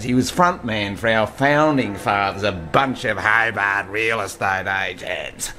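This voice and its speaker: A brash, swearing Australian bohemian. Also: male voice, medium pitch, a bit bright. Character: brash profane bohemian man, yarn-spinning rebel. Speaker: bohemian blues musician and storyteller. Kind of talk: radio play acting.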